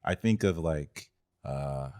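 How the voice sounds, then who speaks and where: A man's voice: a bass voice, lively intonation, slow pace, a little mellow. A man co-hosting a casual podcast discussion, feeling out his phrasing and repeating himself. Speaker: podcast co-host.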